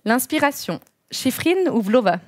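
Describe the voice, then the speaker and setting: Une jeune femme qui coanime une émission télé et enchaîne des questions rapides d'un ton vif. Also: female voice, sits high, very animated, no echo, clean studio sound. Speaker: co-host. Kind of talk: TV interview, quick-fire questions. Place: TV studio set.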